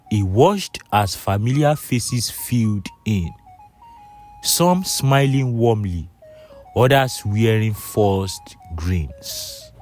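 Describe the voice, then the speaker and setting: A man's voice: mid-range voice, a little mellow. A man narrating a scripted folktale in storybook style.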